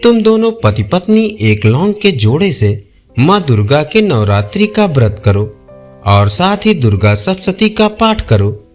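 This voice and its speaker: A man's voice: fairly high pitch, sing-song, unhurried, darkish tone, soft background music. A man voicing a kindly, all-knowing old village woman who reassures like a mother in an audio folk tale. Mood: reassuring.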